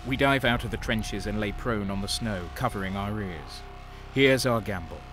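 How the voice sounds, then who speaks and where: A man's voice: fairly low pitch, normal speed, over music, close to an everyday voice. A man playing a hard-bitten, ruthless renegade soldier, narrating his war story intensely in the first person. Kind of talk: first-person dramatic narration, audio drama.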